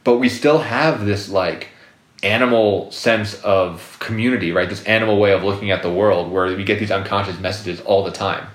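A low-voiced man speaking as a therapist guest, circling his points with fillers and restarts. He sounds roomy, as if recorded in an echoey space. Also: normal speed. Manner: rambling, many fillers and restarts. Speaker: guest psychotherapist. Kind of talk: casual interview talk.

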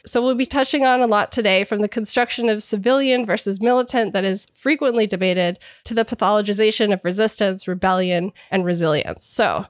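A woman's voice: mid-range voice, fairly quick, hardly any pauses, no room sound. Congested woman hosting a podcast, dry sound. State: slightly congested from a cold. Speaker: podcast host. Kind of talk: scripted podcast intro and discussion.

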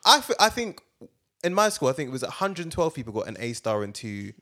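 A man's voice: mid-range voice, sing-song, fast talker, fairly bright tone. A man co-hosting a podcast, chatty and slangy, given to exclamations. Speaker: podcast co-host. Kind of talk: casual podcast chat.

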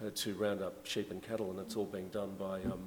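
Male panelist, lowish voice, relaxed and chatty, hall reverb with faint noise. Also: a little fast. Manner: relaxed, conversational, frequent fillers. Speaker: journalist and essayist on panel. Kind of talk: panel discussion.

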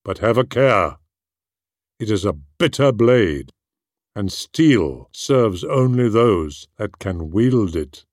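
Man acting a fatherly lord, grave, proud, measured, slow delivery, fantasy audiobook, close dry sound. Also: fairly low pitch, animated, no echo. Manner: measured, solemn. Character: fatherly warrior lord, proud, grave and kind to his son. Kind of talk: audiobook character voice.

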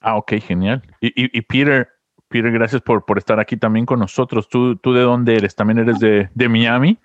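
A man hosting an interview podcast, talking in a halting way and often restarting his words. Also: medium pitch, fairly even intonation, dry recording, lo-fi sound. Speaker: podcast host. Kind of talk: casual interview chat.

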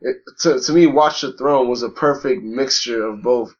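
A man talks loosely in a casual podcast chat, cursing freely and stumbling through restarts and fillers. His voice sits in an ordinary middle pitch. The recording sounds somewhat dull and lo-fi. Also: moderate pace, a crowd in the background. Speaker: podcast co-host.

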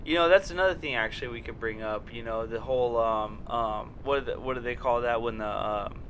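A man's voice: mid-range voice, a little fast, fairly bright tone, slight background noise. A man hosting a casual podcast, rambling and swearing, with lots of fillers and repeated words. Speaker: podcast host.

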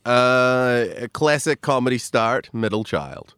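A man's voice: medium pitch, slow pace, a bit bright, smooth, dry recording. A man, a comedian chatting as a podcast guest, rambling and self-deprecating with lots of fillers. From New Zealand. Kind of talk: casual interview chat.

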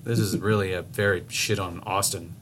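A man co-hosting a podcast in casual chat, rambling with many restarts, swearing freely and getting animated as he tells stories. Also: sits low, normal speed, a little hiss or hum. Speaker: podcast co-host.

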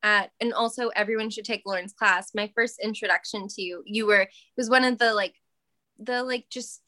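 A woman's voice: medium pitch, fairly even intonation, moderate pace, bright, forceful tone, dry recording, clean studio sound. A woman hosting a podcast in casual chat, enthusiastic and full of fillers like "like" and "um". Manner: enthusiastic, uses many fillers like "like" and "um". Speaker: podcast host, actor.

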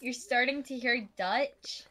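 A woman with a playful, excitable way of talking, chatting casually and sillily with friends. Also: a little high, slow, no room sound. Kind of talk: casual, silly group chat.

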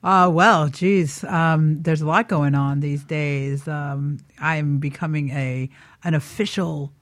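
A woman's voice: low voice, fairly even intonation, slow, no room sound. Chatty woman guest, close dry sound. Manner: chatty, informal. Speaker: guest chef. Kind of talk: casual interview chat.

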